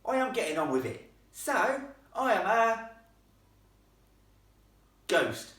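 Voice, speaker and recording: A cheeky young ghost boy from a storybook, acted out by a man, with a rough Cockney accent. He sounds uncouth, smug and easily irritated, pitched high and heard from a distance in an echoey room. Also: very animated, slow pace, a bit bright, exaggerated voice. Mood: exasperated. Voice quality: rough-edged, uncouth speech.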